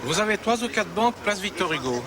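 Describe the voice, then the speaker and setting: High-voiced man pointing out a map. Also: animated, quick pace, a crowd in the background. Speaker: passer-by showing a map. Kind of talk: scripted street dialogue.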